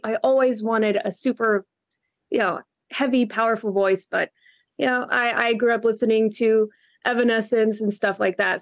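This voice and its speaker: A young woman from Texas, a guest musician, talking softly and brightly about her singing on a video call from her living room. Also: mid-range voice, gravelly, no echo. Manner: soft-spoken, upbeat. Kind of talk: casual interview chat.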